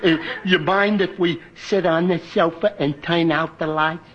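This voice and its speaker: An actor playing a dim-witted, bumbling tavern regular in a 1950s radio comedy, with a slow, halting, dopey delivery. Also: male voice, a little high, steady tone, natural voice. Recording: dull old radio recording with loud hiss.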